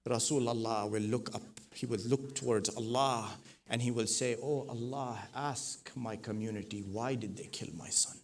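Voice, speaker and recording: Middle-aged male preacher, recounts a tradition, builds from hushed to forceful. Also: mid-range voice, fairly even intonation, moderate pace. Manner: swells from soft to loud, rising emphatic repetition. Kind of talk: mourning sermon with narrated tradition.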